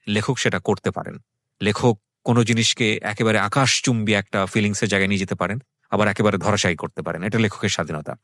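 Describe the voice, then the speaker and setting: A man's voice: fairly low pitch, fairly bright tone, no room sound, studio quality. A man hosting a radio show, speaking in a reflective, articulate way with English words mixed in. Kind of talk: radio show introduction and interviewing.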